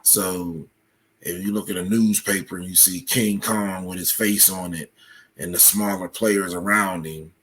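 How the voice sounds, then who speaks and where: A man speaking as an interview guest, explaining in a measured, analytical way with examples. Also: sits low, fairly even intonation, fairly bright tone, a little hiss or hum. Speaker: podcast guest, commentator.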